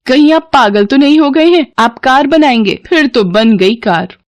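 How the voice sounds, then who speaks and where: A woman voicing the nagging, sharp-tongued wife of a poor mechanic in a moral story, emphatic and chiding. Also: high voice, lively intonation, normal speed, voice pushed up. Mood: mocking. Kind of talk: Hindi moral-story cartoon dubbing.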